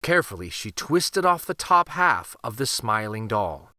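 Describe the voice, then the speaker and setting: A man reading a children's fairytale aloud in a deliberate, dramatic narrating style. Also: lowish voice, very animated, bright, forceful tone, dry recording, studio quality. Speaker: children's story reader. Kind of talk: expressive storybook read-aloud narration.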